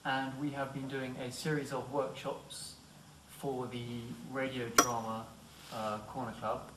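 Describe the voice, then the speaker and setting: A man's voice: mid-range voice, flat delivery, unhurried, very noisy. A man, an actor, informally presenting to and questioning his group of castmates. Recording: roomy, distant mic, faint music.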